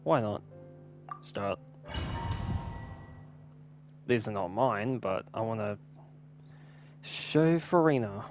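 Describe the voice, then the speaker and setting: A man's voice: lowish voice, lively intonation, extremely slow, pauses often, a little mellow. A man giving casual gameplay commentary, musing offhandedly to himself as he plays. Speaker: gaming streamer. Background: game music.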